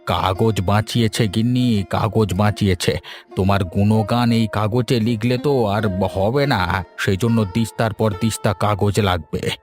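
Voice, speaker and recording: A man playing a teasing, placating old village grandfather in an animated story. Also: lowish voice, unhurried, faint music behind, lightly acted. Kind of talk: Bengali animated story dialogue.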